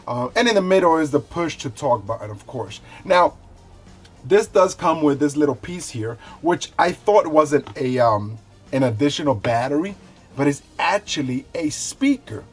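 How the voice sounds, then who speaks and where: A man's voice: a little high, lively intonation, moderate pace, soft background music. A man casually presenting a gadget review in an easygoing, explanatory way. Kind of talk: casual hands-on review chat.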